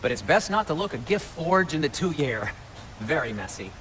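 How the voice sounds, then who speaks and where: A man's voice: fairly high pitch, moderate pace, faint music behind. A male actor playing a fussy, sardonic dwarf blacksmith in a video game, chatty and prissy in his delivery. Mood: wry, chatty. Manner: prissy, animated, wry delivery. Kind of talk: video game cutscene acting.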